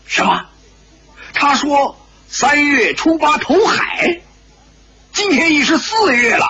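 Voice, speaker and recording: Crude hot-tempered old kung fu grandpa, bellowing and cackling, bright loud voice, dull lo-fi audio. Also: male voice, very high voice, animated, slow, pitched above the performer's own voice, heavily acted. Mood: shocked. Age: older. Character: hot-tempered, cursing old martial-arts grandfather, mocking and belligerent. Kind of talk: dramatised wuxia novel storytelling.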